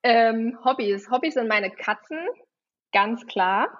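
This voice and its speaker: A woman's voice: on the high side, takes it slowly, highs cut off. Giggly young woman from with a cold. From Germany, Saarland. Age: 20s. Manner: giggly, chatty, many fillers. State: has a cold. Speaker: guest, wedding officiant. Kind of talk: casual podcast interview.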